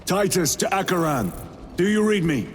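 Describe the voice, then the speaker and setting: A man's voice: high voice, lively intonation, moderate pace, big-room sound, noisy background. An actor playing a stern male soldier commander in a game, clipped and urgent. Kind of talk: game cutscene acting.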